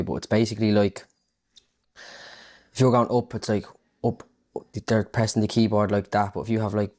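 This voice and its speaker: A man presenting a casual music production tutorial, thinking aloud in an informal, muttering way as he works. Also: lowish voice. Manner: informal, muttering to himself while working. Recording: electronic house music playing loudly. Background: loud electronic house music.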